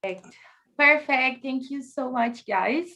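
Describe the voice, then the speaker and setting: High-voiced enthusiastic woman hosting a conference. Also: slow pace, sharp tone. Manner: chatty, enthusiastic, coaxing the audience to join in. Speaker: conference chair and host. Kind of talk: live online conference opening.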